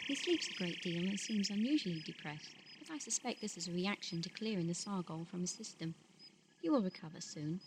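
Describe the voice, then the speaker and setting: A woman's voice: mid-range voice, a little mellow, lightly acted, rough recording. A woman playing a composed space-station doctor, faint noise. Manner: measured, formal log dictation. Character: composed, clinical female space-station physician. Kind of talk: amateur audio drama monologue.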